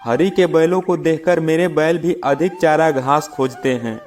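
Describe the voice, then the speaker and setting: Music runs under a fairly high male voice, acted out by a man. It is a greedy cartoon farmer, eaten up by jealousy. He grumbles and schemes his way through a moral tale. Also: steady tone, moderate pace, a little mellow, natural voice. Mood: complaining. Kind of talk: acted children's moral story.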